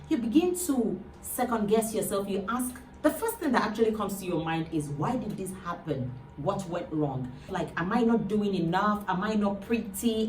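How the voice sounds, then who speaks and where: A woman's voice: mid-range voice, a little hiss or hum. A woman with a Nigerian accent hosting a show and speaking straight to viewers. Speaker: show host. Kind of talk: presenter addressing viewers. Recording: roomy echo, distant from mic.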